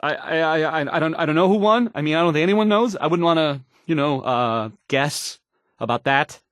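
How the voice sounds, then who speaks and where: A man's voice: on the high side, lively intonation, normal speed, a bit bright, no room sound, clean studio sound. An actor playing a nervous, awkward young male radio DJ in a game, stammering and hesitating through the news, rambling and unsure of himself. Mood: flustered, uneasy. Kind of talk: fumbled radio news report in a video game.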